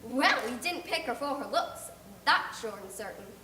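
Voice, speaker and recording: A blunt, gossipy older neighbor, as a woman narrator puts her on delivers disapproving opinions with emphatic force. The voice sits high and bright. The reading has a roomy echo. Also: big pitch swings, moderate pace, a little hiss or hum, heavily acted. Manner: outspoken and emphatic. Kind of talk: audiobook character reading.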